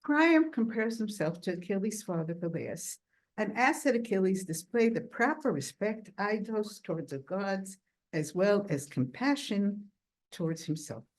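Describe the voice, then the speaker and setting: A woman giving an academic lecture at a workshop, reading quoted texts aloud in a measured, deliberate way and slowing down to stress key words. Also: mid-range voice.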